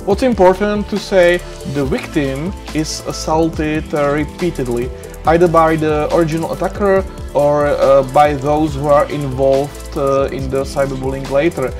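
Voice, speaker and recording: A man's voice: a little high, fairly even intonation, normal speed, music in the background. A middle-aged man with a Czech accent, lecturing over slides in a small room, hesitant with many fillers and restarts. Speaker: university lecturer.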